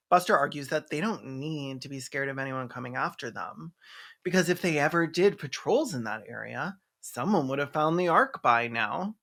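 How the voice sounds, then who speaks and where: Video essayist roasting a movie, sarcastic and sweary, medium pitched voice. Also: fairly bright tone. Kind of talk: comedic film review commentary.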